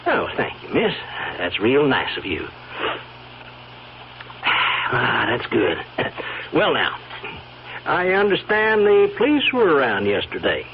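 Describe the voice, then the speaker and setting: An actor with a rural American accent playing a sly, insinuating blackmailer in a radio drama, all folksy chumminess over quiet menace. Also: male voice, medium pitch, wildly swooping pitch, takes it slowly, long pauses, exaggerated voice. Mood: chummy, sly. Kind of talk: old-time radio drama acting. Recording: dull, noisy old radio recording.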